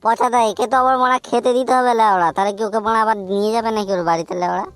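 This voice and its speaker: A man voices a bossy young cartoon lad in a very high, sharp voice. He talks crudely and quickly, like one used to scolding his mates. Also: fairly even intonation, clean tone, no echo, faint music behind. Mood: teasing. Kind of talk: comedy cartoon dialogue.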